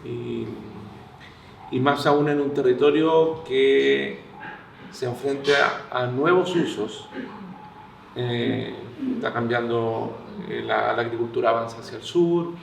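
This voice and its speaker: A Chilean man with a heavily fried, gravelly voice addresses a public discussion. He is reflective and pauses to find words. The mic is distant, the room is echoey and there is faint noise. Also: medium pitch. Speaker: audience participant from a community organization.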